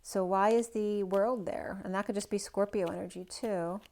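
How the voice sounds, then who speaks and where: A woman's voice: mid-range voice, fairly even intonation, moderate pace, darkish tone. Rambling woman reading tarot cards. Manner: loose, rambling, piling up possibilities; thinks aloud with frequent "right?" asides. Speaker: tarot reader. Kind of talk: general tarot reading for a star sign.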